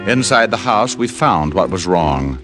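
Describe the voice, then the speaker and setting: An actor playing a hard-boiled American private detective in an old-time radio drama, wry, clipped and pushy. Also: male voice, sits low, very animated, measured pace, music in the background. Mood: wry narration.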